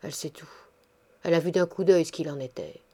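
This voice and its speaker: A woman voicing a gruff but kindly old woman neighbor who fusses and takes charge in a bossy, brusque manner. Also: lowish voice, moderate pace, darkish tone, lightly acted. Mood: muttering.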